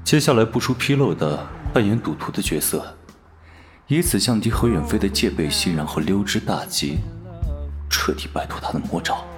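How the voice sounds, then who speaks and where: Audio drama: defiant, calculating young male gambler played by a man, low register, varied intonation, measured sarcasm. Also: expressive, music in the background, natural voice. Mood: wary calculation. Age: young adult. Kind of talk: Mandarin audio drama acting.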